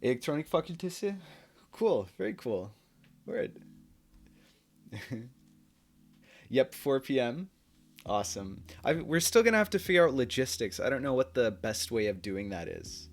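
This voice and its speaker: A man's voice: mid-range voice, very animated, a little slow, long pauses. A young man from the United States hosting a live stream, chatting casually with viewers, laughing often and dropping into Turkish now and then. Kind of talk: casual chat with chat readers.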